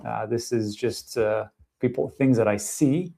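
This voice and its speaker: A man streams live from his desk, walking viewers through price charts in a loose, conversational way. He thinks aloud, hedges, and pads his sentences with fillers. His voice sits in an ordinary middle pitch. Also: measured pace, vocal fry, dark tone. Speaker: crypto market commentator.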